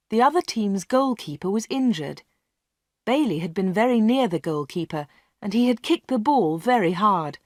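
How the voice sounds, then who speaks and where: Brief: woman narrator, slow and clear for learners, dry close studio mic. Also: medium pitch, dry recording. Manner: clear and measured. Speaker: audiobook narrator. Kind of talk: slow read-along storytelling for learners.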